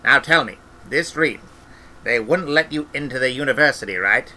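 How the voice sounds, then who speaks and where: A man voicing a stern, snappish old witch granny with a brisk, scolding manner in a storybook reading. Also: mid-range voice, expressive, pushed and bright, slight background noise. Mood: calm, firm.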